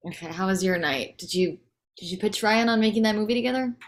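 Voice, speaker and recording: A woman's voice: medium pitch, quick pace, close to an everyday voice. A woman playing a frazzled, firm young wife and mother of toddlers in a comedy table read, speaking in a dry, weary conversational way.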